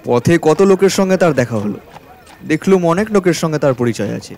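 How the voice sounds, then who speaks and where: An actor playing a carefree, trusting uncle in a radio drama, chatting in a jovial, easygoing manner. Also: male voice, a little high, expressive, fairly quick, a little mellow. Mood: amused, chatty.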